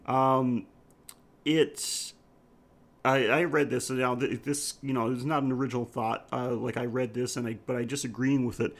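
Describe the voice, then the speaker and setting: A man with a mid-pitched voice co-hosts a casual film podcast. He speaks haltingly, thinking aloud and circling back to rephrase his points. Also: fairly even intonation. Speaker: podcast co-host.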